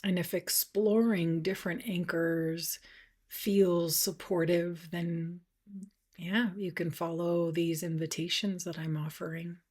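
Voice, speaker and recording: A woman meditation teacher leading a guided practice, speaking gently and softly with unhurried pauses, at times breathy. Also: lowish voice, little pitch movement.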